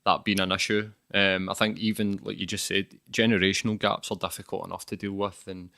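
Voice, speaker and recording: Male podcast host, lowish and bright, dry close mic, easygoing and halting. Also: flat delivery, gravelly, dry recording. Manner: hesitant, frequent "um" and "sort of". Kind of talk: casual interview hosting.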